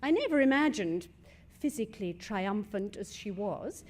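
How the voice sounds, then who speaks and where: An actress playing a poised, sharp-tongued middle-aged wife in a stage comedy, with a crisp, ironic British delivery. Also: female voice, medium pitch, animated, normal speed, lightly acted. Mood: irritated, cutting. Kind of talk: stage comedy radio acting.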